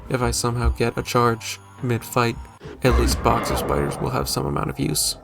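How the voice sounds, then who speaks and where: A man's voice: medium pitch, level tone, normal speed, creaky, over loud music. A man hosting a gaming video with casual, joking, self-deprecating commentary and some mild swearing.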